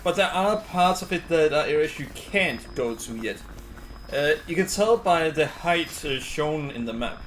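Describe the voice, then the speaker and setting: A man giving casual game commentary as a streamer, thinking aloud in a halting way. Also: fairly high pitch, expressive, fairly bright tone, slight background noise, low-quality recording. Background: game music.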